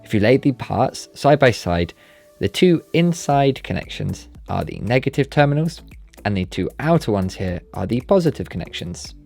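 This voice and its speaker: A man's voice: mid-range voice, very animated, moderate pace, vocal fry, darkish tone, faint music behind. A man giving scripted, step-by-step DIY tutorial narration in a careful, politely instructional manner. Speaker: presenter of a DIY build tutorial.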